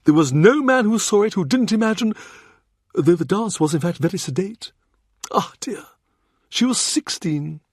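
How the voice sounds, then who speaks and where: A man's voice: sits high, lively intonation, normal speed, pauses often, husky, dry recording, clean studio sound, exaggerated voice. A man voicing an urbane, shrewd older cardinal who reminisces in a genial, confiding way. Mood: animated. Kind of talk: audiobook character reading.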